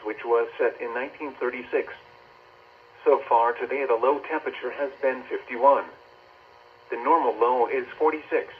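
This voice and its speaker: A synthesized man's voice reading a scripted weather bulletin in a stilted, formal way, played from a weather radio indoors. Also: mid-range voice. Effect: synthetic voice over weather radio. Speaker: automated weather radio announcer. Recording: dull radio speaker, clear noise.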